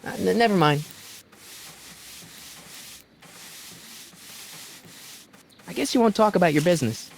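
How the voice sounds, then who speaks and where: A man's voice: high voice, expressive, racing, creaky, slight background noise. An actor playing a polite, inquisitive young man in a video game, talking casually and a little hesitantly as he probes. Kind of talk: video game dialogue acting.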